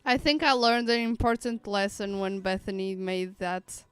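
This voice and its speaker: A woman's voice: mid-range voice, moderate pace, smooth, dry recording, faint music behind. A woman game streamer giving casual, playful live commentary with somewhat hesitant phrasing.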